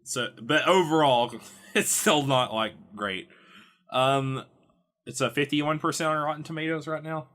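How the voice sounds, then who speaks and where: A man's voice: fairly high pitch, measured pace, a bit bright. A man hosting a casual podcast chat, often chuckling as he talks. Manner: chuckles often while talking. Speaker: podcast host.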